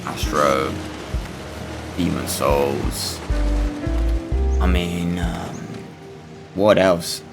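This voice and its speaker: A man giving casual, rambling and halting gameplay commentary, blunt and sweary as host of a gaming video. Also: sits low, vocal fry, over loud music.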